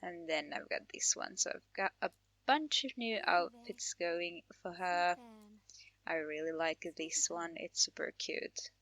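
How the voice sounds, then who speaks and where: A woman's voice: on the low side, a little slow, a bit bright. A woman from Finland hosting a casual Let's Play, talking in a chatty, informal way. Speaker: gaming channel host.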